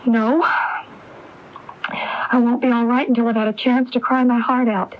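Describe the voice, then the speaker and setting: An actress playing a grieving but resolute widow in a radio drama, speaking in a measured way. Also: female voice, on the high side, level tone, lightly acted. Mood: grieving, controlled. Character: determined, composed grieving widow. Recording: muffled AM radio, faint noise.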